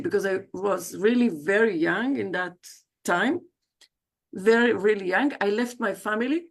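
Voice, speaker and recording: A woman, introducing herself on a video call in a chatty, personal way, with frequent hesitations and self-corrections. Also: medium pitch. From South America. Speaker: guest speaker, leadership coach. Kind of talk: livestreamed self-introduction talk.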